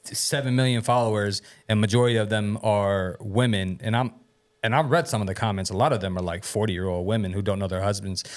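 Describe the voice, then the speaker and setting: A man's voice: lowish voice, fairly even intonation, fast talker. A man hosting a podcast in casual chat, talking loosely, swearing and laughing often. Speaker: podcast host.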